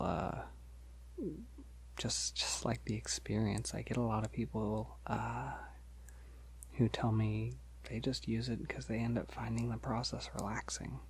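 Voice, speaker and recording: A man playing a polite, gentle, shy vampire in an ASMR roleplay, speaking softly and hesitantly to reassure the listener, often near a whisper. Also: fairly low pitch, slightly rough, full character voice. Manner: hesitant, reassuring, halting with soft chuckles.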